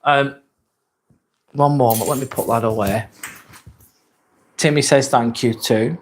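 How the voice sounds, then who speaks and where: A man in his forties with a Lancashire accent, hosting a casual live stream, chatting in a relaxed, rambling way with plenty of ums. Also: medium pitch, slow, a little hiss or hum, low-quality recording. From northwest England. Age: 40s. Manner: relaxed, rambling, reads chat comments aloud with many fillers. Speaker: livestream host.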